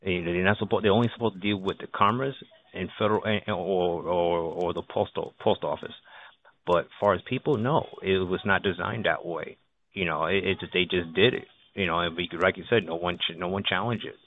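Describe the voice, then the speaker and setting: A man in an informal political discussion on a group call, speaking haltingly and repeating himself as he restarts phrases. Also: low voice. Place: conference phone call. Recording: narrow phone line with background noise.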